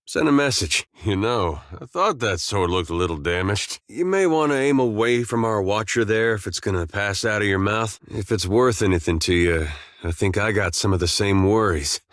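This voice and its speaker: An actor playing an easygoing, loyal farmer turned soldier in a video game, with laid-back folksy phrasing and dry humour. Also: male voice, lowish voice, fairly bright tone, no echo. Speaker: video game companion character. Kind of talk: game dialogue and battle barks.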